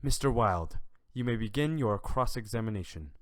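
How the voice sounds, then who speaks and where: A man voicing a stern, patient judge with formal, measured speech in a fan audio drama. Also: on the low side, animated, a little hiss or hum, lightly acted.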